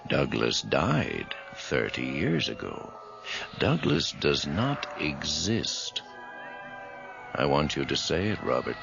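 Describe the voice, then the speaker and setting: A man's voice: rumbling low, wildly swooping pitch, slow pace, gravelly, music in the background, full character voice. An actor playing a stern middle-aged doctor in a radio horror drama, insistent and authoritative with his patient. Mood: probing, firm. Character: stern, commanding doctor treating a possessed patient. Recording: muffled, noisy old radio recording.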